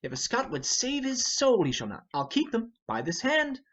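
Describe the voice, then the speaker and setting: A man's voice: extremely high pitch, wildly swooping pitch, normal speed, a bit bright, lo-fi sound, pitched above the performer's own voice, heavily acted. A man playing a hot-headed, impatient young nobleman, ranting in a declamatory Shakespearean stage reading. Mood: defiant. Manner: impetuous, declamatory. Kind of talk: dramatic reading of a Shakespeare play.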